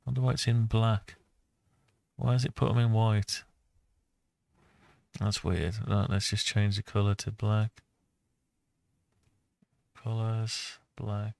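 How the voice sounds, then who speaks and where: A man's voice: on the low side, little pitch movement, slow pace, vocal fry, long pauses, darkish tone. A man giving a casual think-aloud tutorial, muttering to himself as he talks through each step. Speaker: host of a CAD design tutorial.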